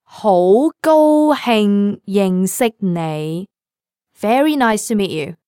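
Clear-voiced woman with Cantonese accent. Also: medium pitch, expressive, dark tone, no room sound. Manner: careful slow model pronunciation. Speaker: language lesson co-host. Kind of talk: scripted language lesson. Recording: dry studio recording.

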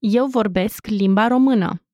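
A woman modeling short phrases for a language course with careful, deliberate enunciation. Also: medium pitch, darkish tone, dry recording, studio quality. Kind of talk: scripted phrase pronunciation.

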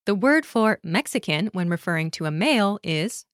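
A woman narrating a scripted language lesson in a clear, measured, instructional way, recorded close to a studio mic. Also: lowish voice, expressive, no echo. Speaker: course narrator.

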